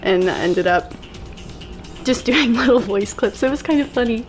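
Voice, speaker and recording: A woman speaking as a guest in a casual chat, with a calm, even, monotone way of talking. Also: on the high side, normal speed, soft background music. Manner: calm, self-described monotone delivery.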